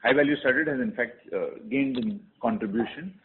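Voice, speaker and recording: Cautious man, tinny noisy line. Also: normal speed. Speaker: company executive. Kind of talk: answering questions on an earnings call. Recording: tinny phone line with faint rumble.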